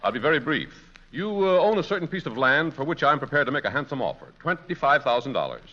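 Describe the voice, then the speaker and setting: An actor playing a pompous, blustering colonel in a 1940s radio comedy, formal, clipped and commanding. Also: male voice, medium pitch, sing-song, fast talker, bright, forceful tone. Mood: brusque, pompous. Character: pompous, blustering colonel posing as a wealthy buyer. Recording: dull, lo-fi vintage radio broadcast.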